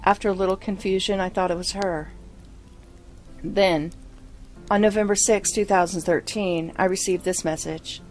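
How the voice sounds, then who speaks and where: A woman's voice: mid-range voice, steady tone, normal speed, darkish tone, faint music behind. A woman narrating a first-person horror story from a script.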